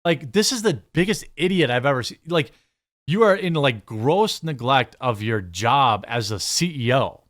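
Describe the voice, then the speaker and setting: An American man with a mid-pitched voice hosts a news commentary show. He moves between reading articles aloud and reacting in his own words. His delivery is blunt and sarcastic, with exasperated asides. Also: animated, moderate pace, fairly bright tone.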